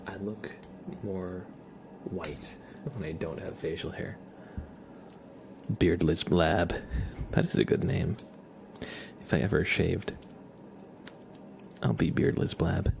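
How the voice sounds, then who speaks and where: Deep voiced guy doing live asmr, soft and playful, half whispered, noisy background w scissor sounds. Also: fairly even intonation, takes it slowly, gravelly, long pauses. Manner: soft-spoken, playful, slips between murmur and whisper. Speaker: ASMR livestreamer. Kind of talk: ASMR live chat with roleplay, partly whispered.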